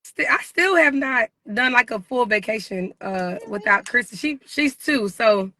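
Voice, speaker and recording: High, bright-voiced woman interviewer. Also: expressive, a little slow, dry recording. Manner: informal, conversational, slangy. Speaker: podcast-style interview host. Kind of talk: casual chat interview.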